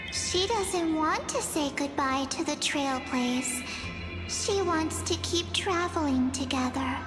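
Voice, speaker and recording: A devoted, eerie little memory sprite in an anime game. Her girlish voice is extremely high and swings dramatically, pressing each point slowly and insistently. Loud music plays behind. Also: full character voice.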